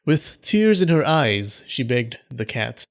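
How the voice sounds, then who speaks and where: Man narrating folktales with deadpan humor. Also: mid-range voice, takes it slowly. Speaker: storyteller, channel host.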